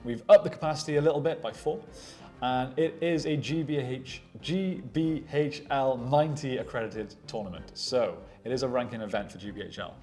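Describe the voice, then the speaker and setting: A man's voice: mid-range voice, normal speed, a little mellow, faint music behind. A man chatting casually as co-host of a tabletop gaming show, with a self-deprecating manner. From Wales. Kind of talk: casual chat.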